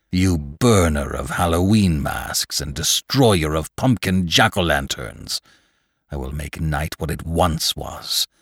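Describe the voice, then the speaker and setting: A man acts out a vengeful, brooding dead man risen from the grave in a gothic storybook. His voice is very deep and slow. He declaims grandly, weary and full of menace. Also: vocal fry, slightly rough, dry recording, studio quality, heavily acted.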